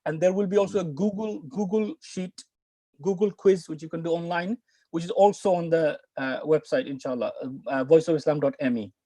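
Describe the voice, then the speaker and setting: A man's voice: on the high side, brisk, warm tone, no echo. A middle-aged man teaching Arabic on a video call from a room at home, earnest and coaxing, often restarting his words. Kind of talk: live online class talk.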